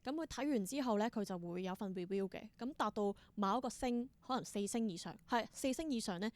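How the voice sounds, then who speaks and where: A woman's voice: mid-range voice, a little fast. Hong Kong Cantonese woman, explanatory interview guest. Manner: explanatory, mixes English terms into Cantonese. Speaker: interview guest, theatre performer. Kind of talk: conversational interview answers.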